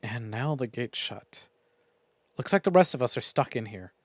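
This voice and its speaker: A man streaming a visual novel, reading it aloud and reacting in an expressive, sweary way. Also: medium pitch, moderate pace, no room sound. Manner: expressive, profane. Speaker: game streamer.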